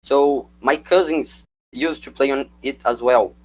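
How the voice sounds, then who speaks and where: A man's voice: medium pitch, measured pace, rough recording. A young man whose first language is Portuguese, reading a prepared slide presentation and asking for the next slide. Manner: reads prepared script, calls for slides. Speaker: student presenter.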